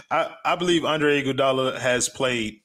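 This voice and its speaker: A man's voice: mid-range voice, a little slow, bright, forceful tone. A man sharing casual opinions as a panelist on a sports talk show.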